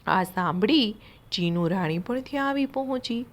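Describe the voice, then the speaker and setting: Woman reading a kids moral story, slow n expressive, medium pitch. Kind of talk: narrating a children's moral story.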